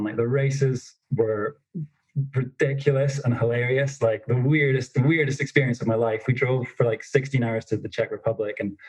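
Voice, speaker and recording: A young man telling stories as a podcast guest, in a self-described monotonous voice with lots of fillers. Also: mid-range voice, slightly rough, poor audio. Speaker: snowboard instructor trainer, podcast guest. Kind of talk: casual interview storytelling.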